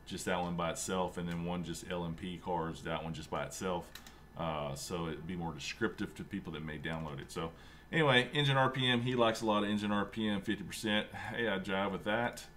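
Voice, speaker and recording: A man in his thirties hosting a casual screen-share tutorial at home, chatty and relaxed, with lots of fillers and a fairly monotone delivery. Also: low-pitched, fairly quick, a little hiss or hum. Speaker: sim racing channel host. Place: home room with a racing rig.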